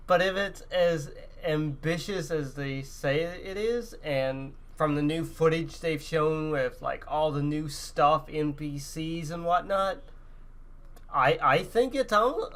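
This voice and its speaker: A man's voice: fairly high pitch, takes it slowly, a bit bright. A man co-hosting a podcast in a casual, chatty gaming-news style. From Canada. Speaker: podcast co-host.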